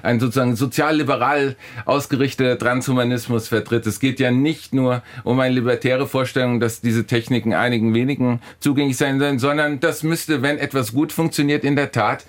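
A man's voice: medium pitch, fairly even intonation, fairly quick, few pauses. A man speaking as an academic guest in a discussion, explaining and arguing emphatically, often restarting and repeating phrases. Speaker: philosophy professor, guest.

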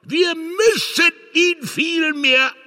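Fanatical prelate, high piercing voice, slow declamatory sermon, swelling and inciting, cavernous reverb. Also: male voice, heavily acted. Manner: declamatory, inciting, solemn rising to shouting. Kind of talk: fiery church sermon in radio drama. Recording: long cavernous church reverb.

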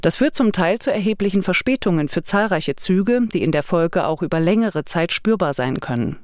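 A woman's voice: fairly low pitch, darkish tone, dry recording. Woman, corporate spokesperson, formal prepared statement, close dry recording. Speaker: railway company spokesperson.

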